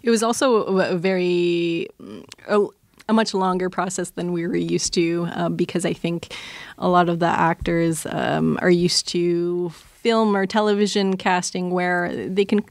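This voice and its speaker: A woman podcast creator answering as an interview guest in a measured, halting, conversational way. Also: on the low side, fairly even intonation, vocal fry, no room sound. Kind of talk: reflective interview chat.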